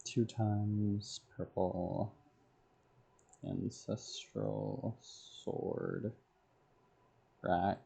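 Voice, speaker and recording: Male game streamer: very slow, halting self-talk, heavy vocal fry. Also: lowish voice, level tone, dark tone, slight background noise. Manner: halting, terse, talking to himself. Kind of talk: muttering item names while making a list.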